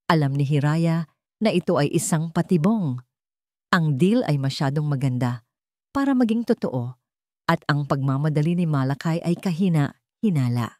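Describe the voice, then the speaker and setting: Babaeng Pilipinang tagapagsalaysay, mababa ang boses. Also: female voice, low voice, animated, normal speed, long pauses, dark tone, dry recording, studio quality. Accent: Filipino. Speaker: story narrator. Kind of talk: scripted dramatic story narration.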